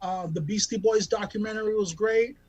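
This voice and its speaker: High-voiced man doing rambling stand-up. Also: steady tone, a little fast, a little mellow, lo-fi sound. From Bakersfield, California. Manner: rambling, self-deprecating, many filler ums and restarts. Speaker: stand-up comic. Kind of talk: stand-up set over video call. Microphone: video call.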